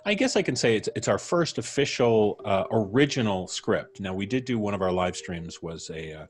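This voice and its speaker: A man with a fairly low voice hosts a live stream. He talks casually and likes small jokes, with faint music playing behind him. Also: animated, normal speed. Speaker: podcast host.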